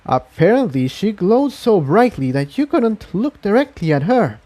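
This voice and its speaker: A man's voice: sits high, sing-song, moderate pace, mellow, voice pushed up. A man voicing an anxious, self-doubting female pony in a storybook reading, speaking earnestly and fretfully. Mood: curious.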